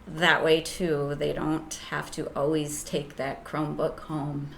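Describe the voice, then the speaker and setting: A woman teacher with a soft voice, a guest in an interview, explaining hesitantly with many ums and restarts. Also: low-pitched, flat delivery, unhurried, creaky.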